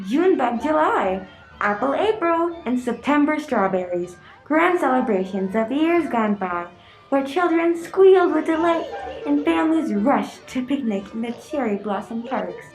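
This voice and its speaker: A woman's voice: high-pitched, expressive, unhurried, faint music behind, rough recording. A woman reading scripted narration for a radio play.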